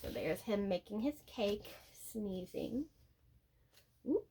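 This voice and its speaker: A woman reading aloud for children, pointing out the pictures in soft, simple asides. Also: medium pitch, animated, takes it slowly, breathy edge. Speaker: teacher-like read-aloud storyteller.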